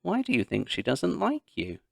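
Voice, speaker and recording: A man voicing a caring, calm mother in a children's story, gently explaining things. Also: mid-range voice, normal speed, gravelly, no echo, clean studio sound, voice pushed up, heavily acted. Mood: wry, caring.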